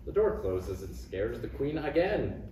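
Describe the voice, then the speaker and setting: A man's voice: mid-range voice, sing-song, a little slow, dark tone. A man giving scripted narration, reading out the stage directions at a table read. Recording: roomy distant recording with faint noise.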